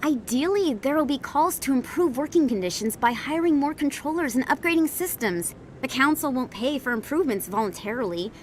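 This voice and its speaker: High-voiced, driven woman reporter. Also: lively intonation, fairly quick, hardly any pauses. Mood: insistent, reassuring. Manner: articulate, persuasive, assured. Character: persuasive, driven female investigative reporter of space opera. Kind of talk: video game dialogue. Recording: faint rumbling background noise.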